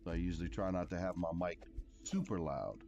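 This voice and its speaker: A man with a deep voice talks over clear background music. He is a game streamer chatting casually with his viewers. His delivery is easygoing and rambles along. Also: fairly quick, dull audio. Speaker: game livestreamer. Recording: music behind.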